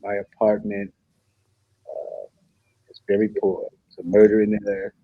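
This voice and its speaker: A man in a comedy table read playing an apologetic, flustered suitor who stammers and fibs, very polite and halting. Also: lowish voice, flat delivery, gravelly, no room sound, phone-quality sound. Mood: sheepish warning. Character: apologetic, flustered man, nervously fibbing to impress his date.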